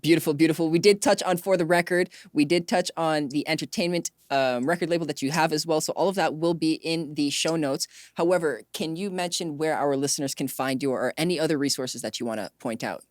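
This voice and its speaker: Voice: mid-range voice, fairly quick, hardly any pauses, no room sound, studio quality. A podcast host chatting casually in an interview, asking questions in an agreeable, inquisitive way.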